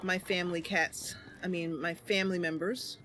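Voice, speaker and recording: A woman's voice: on the low side, fairly even intonation, normal speed, fairly bright tone, a little hiss or hum. A woman hosting and narrating a game roleplay, chatting casually while ill and tired. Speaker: channel host and game player.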